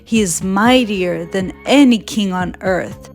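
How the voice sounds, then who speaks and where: Lady being a patient mama bear in a storybook, takes her time, gentle and reassuring, faint music. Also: mid-range voice, slow. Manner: gentle, explaining. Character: patient, loving mother polar bear, devout and reassuring.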